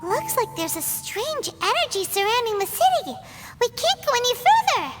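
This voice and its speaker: A woman voicing a tiny, curious, excitable fairy girl with a squeaky childlike voice for a video game cutscene. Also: moderate pace. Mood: puzzled, eager. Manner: exclamatory, talks of self in third person. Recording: loud music behind, long reverb.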